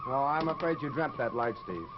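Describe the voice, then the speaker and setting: A man's voice: mid-range voice, fairly quick, lightly acted. An actor playing a cautious, fussy, sardonic man with a dry, chiding manner in an old-time radio drama. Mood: uneasy, irritated. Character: cautious, fussy, sardonic male travel companion. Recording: muffled, faintly noisy old radio recording.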